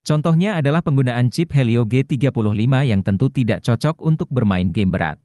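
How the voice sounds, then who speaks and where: A man's voice: medium pitch, animated, a little fast, warm tone, dry recording. A man reads a formal, informative scripted voice-over for a gadget review. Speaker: narrator of gadget review videos. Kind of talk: scripted narration.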